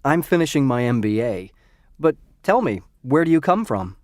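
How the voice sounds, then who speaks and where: A man playing a lively young male student. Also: mid-range voice, moderate pace, dry recording, studio quality, natural voice. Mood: friendly. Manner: lively, clearly enunciated. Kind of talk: scripted textbook dialogue. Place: recording studio.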